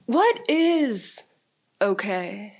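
A woman's voice: medium pitch, very animated, extremely slow, exaggerated voice. A woman voicing a solemn, philosophical teenage boy who ponders aloud in a comedy sketch. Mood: solemn, pondering. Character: brooding, philosophical teenage boy, the band's thinker.

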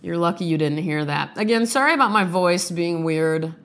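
Sick-sounding woman with a low voice. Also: moderate pace, fairly bright tone. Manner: chatty, self-deprecating, joking. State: sick with a sinus infection, voice off. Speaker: podcast host reading poems. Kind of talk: casual chat and poetry reading. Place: small home studio.

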